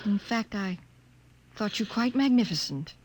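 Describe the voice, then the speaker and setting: An actress playing an earnest, troubled scientist discovering emotion, hesitant, in 1950s radio drama style. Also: female voice, medium pitch, animated, measured pace, a little mellow, slight background noise, close to an everyday voice. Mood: wondering, troubled.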